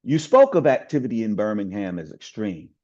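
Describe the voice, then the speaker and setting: A man from the community reciting a written speech with oratorical emphasis, drawn-out words and falling phrase endings, in a dull, slightly lo-fi recording. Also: fairly low pitch, very animated, dark tone. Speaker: community member reading aloud.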